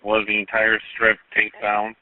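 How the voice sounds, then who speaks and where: Male police commander coordinating units by radio. Low pitch, phone-like two-way radio sound. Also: monotone, a little slow, no echo. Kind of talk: police radio dispatch traffic.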